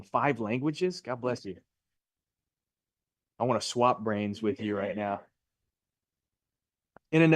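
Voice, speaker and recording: A man's voice: medium pitch, no echo. A man teaching a live class, upbeat and chatty, asking his students questions. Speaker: real estate instructor.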